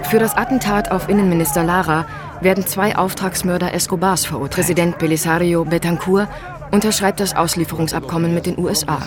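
A woman's voice: fairly low pitch, steady tone, quick pace, background noise. A woman narrating a scripted documentary as its narrator. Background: music.

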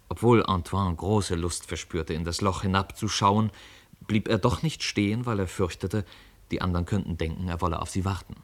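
A man narrating a scripted radio play as a measured, literary storyteller. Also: low voice, a bit bright.

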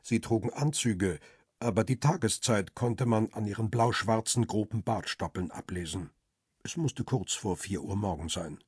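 A jailed paparazzo narrates a radio crime story. His voice is low and very husky, recorded close and dry. He sounds wary and uneasy. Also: moderate pace, darkish tone, no room sound. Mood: weary, wary. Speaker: narrator and lead actor. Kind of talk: radio crime drama, first-person narration.

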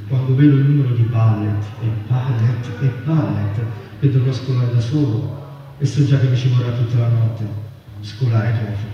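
A man's voice: medium pitch, fairly even intonation, moderate pace. A man giving a literary reading aloud at a public event. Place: large echoey venue at a public festival. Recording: dull, distant, very echoey, background noise.

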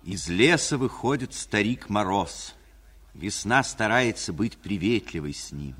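A man narrating a scripted fairy tale for 1940s radio in a measured storyteller manner. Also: lowish voice, animated, a bit bright, breathy edge. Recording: 1949 radio recording.

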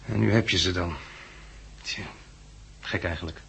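An actor playing a gallant, attentive man in a 1960s Dutch radio drama, speaking gently and with some hesitation in a Netherlands accent. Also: deep voice, a little slow, vocal fry, full character voice. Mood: tender, wistful. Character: courteous, attentive man dining at the world's end. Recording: noisy vintage 1960s radio recording.

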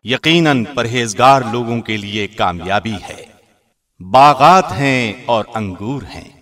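Male narrator for scripture translation: middle register, formal and measured, trained radio-presenter delivery. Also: mid-range voice, animated, fairly bright tone. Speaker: translation reader, radio host.

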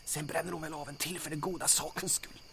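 A man's voice: on the high side, level tone, vocal fry. A man playing a nervous, reluctant soldier sidekick in a comic audio drama, wry and protesting. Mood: nervous, hushed. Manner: wry, protesting, often hissed whisper or yelled.

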